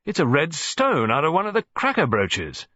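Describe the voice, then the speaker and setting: A man's voice: on the high side, huge pitch swings, normal speed, bright, forceful tone, dry recording, voice pushed up, full character voice. A man voicing a blustering, irritable old colonel who roars and grumbles, on a dull, dry recording. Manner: roaring and grumbling.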